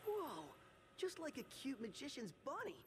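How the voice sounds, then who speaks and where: An actor voicing a playful, goofy young ninja turtle who talks in excitable surfer slang. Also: male voice, very high-pitched, moderate pace, full character voice. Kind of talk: video game cutscene acting. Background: music.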